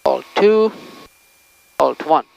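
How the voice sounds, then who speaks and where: Creaky-voiced man running cockpit checks. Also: on the high side, animated, drawn-out words, a little mellow, dull audio. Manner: clipped, methodical phrases. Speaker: pilot. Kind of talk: calling out pre-flight checks aloud, self-talk. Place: cockpit of a small propeller plane.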